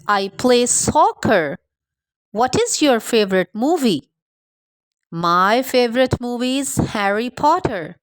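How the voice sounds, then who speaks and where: A woman English teacher reading a scripted practice dialogue in a deliberate, carefully enunciated way. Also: fairly high pitch, no echo.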